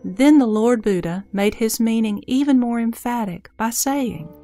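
A woman narrates scripture in a mellow, fairly high voice. She reads scripted lines as music plays behind her. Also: measured pace.

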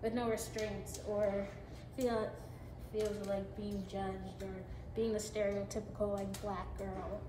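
A woman's voice: mid-range voice, flat delivery, slow, noisy background. Hesitant woman in a roomy recording. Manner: hesitant, searching, many fillers. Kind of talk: reflective talk in a played video.

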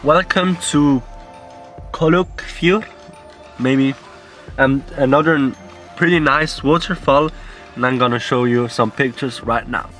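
A man's voice: mid-range voice, slow pace, pauses often, music in the background. A young man chatting casually through a travel vlog and unsure how to say the local place names. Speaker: vlogger. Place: inside a car and a small guest room.

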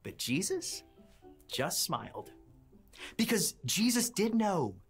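Friendly mail carrier storyteller for kids: husky, unhurried, expressive delivery. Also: female voice, mid-range voice, faint music behind, exaggerated voice. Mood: earnest storytelling. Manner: expressive, simple, emphatic phrasing. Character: friendly mailman storyteller, addressing children as friends. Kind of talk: children's Bible storytelling.

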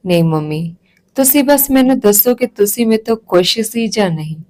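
A woman's voice: fairly high pitch, lively intonation, normal speed, darkish tone, lightly acted. A woman voicing a wounded, stubborn young daughter who directly and insistently questions her mother in a family story.

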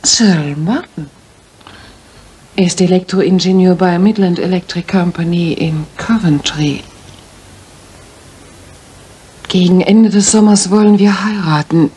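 Low-voiced, anxious young woman. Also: measured pace, mellow. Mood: hesitant, earnest. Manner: polite, hesitant, breathy in places. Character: anxious young female music teacher seeking a detective's help. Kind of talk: German radio drama acting.

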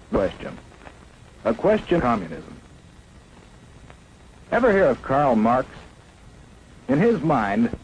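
A man's voice: medium pitch, a little mellow, low-quality recording. A man narrating a 1950s propaganda film in an emphatic, declamatory way. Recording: faint hiss of an old 1950s film soundtrack.

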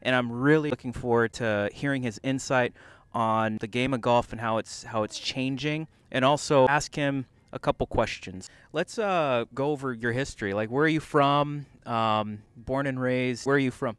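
A man's voice: medium pitch, moderate pace, fairly bright tone, smooth, dry recording. A man hosting a golf interview, reading out prepared questions in a plain, scripted way. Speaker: interview host.

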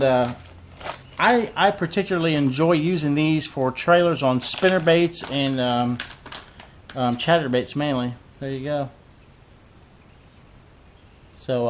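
A man's voice: medium pitch, a little hiss or hum. A man in his thirties chatting casually through a fishing tackle unboxing, rambling and repeating himself with lots of fillers, recorded on a desk camera in a cluttered tackle room. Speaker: fishing vlogger.

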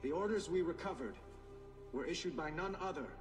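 A man plays an earnest young emperor in a video game cinematic. His slightly high voice is formal and measured, carrying through a reverberant hall at a distance, with music behind. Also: animated, lightly acted. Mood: solemn, resolute. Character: earnest young male emperor, stately and resolute.

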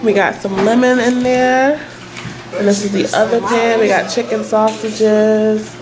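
A woman's voice: a little high, level tone, measured pace, crowd noise, poor audio. A young woman vlogging in her home kitchen, chatting casually and listing ingredients as she cooks. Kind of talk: casual cooking vlog narration. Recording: home kitchen with clear cooking noise. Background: cooking sizzle and kitchen noise.

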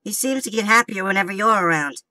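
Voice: mid-range voice, expressive, fast, bright, forceful tone, dry recording, low-quality recording. A performer voicing a worried, loyal cartoon schoolboy in an amateur comic dub, earnest and anxious.

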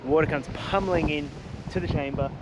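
A man's voice: on the high side, a little fast. A man, vlogging casually and enthusiastically on a windy coast, filmed with a camera at arm's length. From Australia. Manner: enthusiastic, laid-back slang. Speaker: travel vlogger. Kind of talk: casual travel vlog. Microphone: handheld camera at arm's length. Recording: loud music underneath.

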